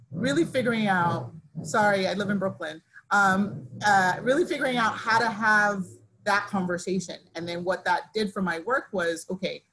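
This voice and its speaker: A woman's voice: medium pitch, slight background noise, low-quality recording. A woman in her thirties who grew up in Nigeria, a fashion designer speaking on a video call from her living room, talking with her hands and full of restarts. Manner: animated, talks with her hands, many likes and restarts. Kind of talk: casual panel conversation. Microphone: laptop webcam on video call.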